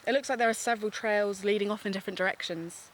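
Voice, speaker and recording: A woman's voice: medium pitch, quick pace, close to an everyday voice, poor audio. An actress playing a chatty, curious, playful woman who makes an amateur true-crime podcast, in an audio drama. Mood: descriptive.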